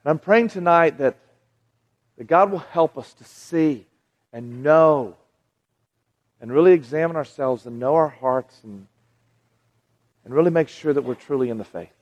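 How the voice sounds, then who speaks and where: A man's voice: fairly high pitch, unhurried, pauses often, long reverb tail, muffled recording. A man in his forties with a Southern American accent, preaching a folksy, conversational sermon to a live audience. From Southern United States. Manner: folksy, conversational, frequent restarts and asides to the crowd. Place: large hall with audience.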